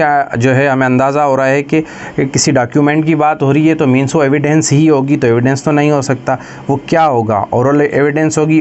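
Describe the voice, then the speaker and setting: Man, Pakistani accent, medium pitch, explanatory lecturer style, deliberate reading of quiz items, faint background noise. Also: darkish tone. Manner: switches between Urdu explanation and slow, deliberate English reading. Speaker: lecturer for law exam preparation.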